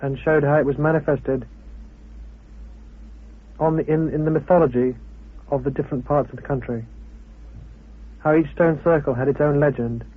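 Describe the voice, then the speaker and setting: Higher-voiced Englishman, husky, on tinny radio. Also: fairly even intonation, moderate pace, long pauses. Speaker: sociologist and amateur antiquity researcher. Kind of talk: expository talk. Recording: thin, band-limited old radio recording.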